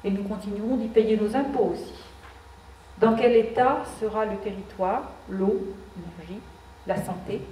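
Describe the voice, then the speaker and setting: A woman's voice: mid-range voice, normal speed, warm tone. A woman addressing a public meeting, earnest with ironic asides and frequent restarts. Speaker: association speaker at a public meeting. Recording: echoey hall, distant mic, faint background noise.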